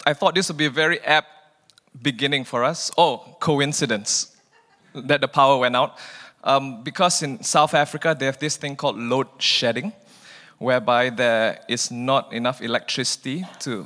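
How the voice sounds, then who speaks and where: A man from Malaysia giving a guest sermon in a relaxed, chatty way, often repeating a word as he starts a phrase. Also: medium pitch, big-room sound. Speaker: guest preacher. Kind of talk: informal sermon.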